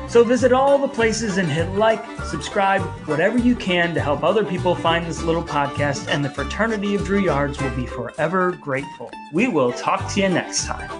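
A man co-hosting a casual podcast, talking in a playfully wordy, mock-formal way. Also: sits high. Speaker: podcast co-host. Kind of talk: casual podcast talk between brothers.

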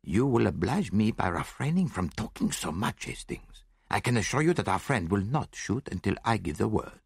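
Fussy polite detective, very animated, mocking, mystery audiobook. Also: male voice, lowish voice, normal speed, vocal fry, breathy edge, no room sound, studio quality, heavily acted. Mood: mild reproof. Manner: courteous, mildly reproving, theatrical. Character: theatrical, shrewd male foreign detective, courteous and mocking.

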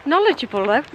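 A woman's voice: high voice, background noise. A woman in her thirties chatting casually and with giggles into a handheld camera while walking outdoors. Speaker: vlogger. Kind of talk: casual outdoor vlog chat. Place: outdoors on countryside footpaths. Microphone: handheld camera at arm's length.

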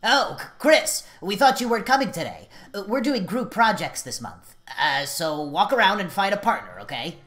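A woman's voice: mid-range voice, big pitch swings, moderate pace, hardly any pauses, fairly bright tone. A woman voicing a timid, flustered female monster schoolteacher in a video game, hesitant and stammering nervously.

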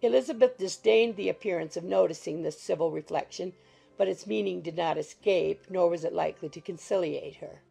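A woman's voice: fairly low pitch, brisk, gravelly, dark tone, slightly rough, faint music behind, rough recording. A woman narrating a literary audiobook from a script.

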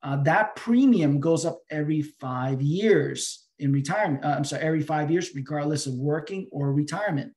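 Man explaining, slightly high-pitched voice. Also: moderate pace, hardly any pauses, a little mellow. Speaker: financial advisor guest answering questions. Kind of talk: webinar question-and-answer. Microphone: video call.

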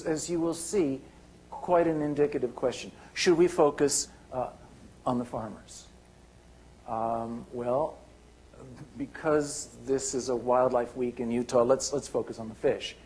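An older man gives a keynote talk in a lecture hall, pointing at slides as he goes. His pitch sits in a middle register, and he talks haltingly, full of restarts and fillers. A faint hiss of noise runs under his voice. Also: a little mellow. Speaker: keynote speaker.

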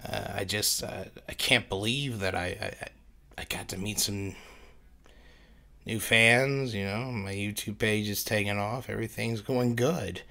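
Man playing a meek, stammering, gravelly-voiced man. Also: lowish voice, measured pace, pushed and bright, heavily acted. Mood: defensive. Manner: hesitant, stammering, defensive. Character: meek, wounded man arguing with his own reflection.

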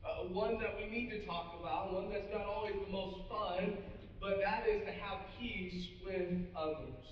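A man's voice: high voice, fairly even intonation, unhurried, a little hiss or hum. A middle-aged man preaching a sermon to his congregation, speaking earnestly and addressing them directly, with frequent restarts. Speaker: pastor. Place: large church auditorium with a seated congregation. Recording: echoey, muffled hall audio from a distance.

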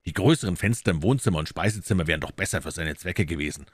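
A man voicing a coolly assured, incisive gentleman detective in an audiobook, emphatic and courteous. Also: deep voice, quick pace, dry recording, clean studio sound. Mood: cool, probing.

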